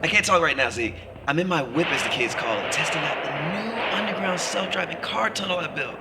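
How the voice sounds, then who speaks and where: A man's voice: fairly high pitch, animated, brisk. A man playing an eccentric, self-absorbed billionaire tech boss, breezy and glib, in a sci-fi audio comedy. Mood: breezy, hurried. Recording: very noisy car phone call, vehicle roar.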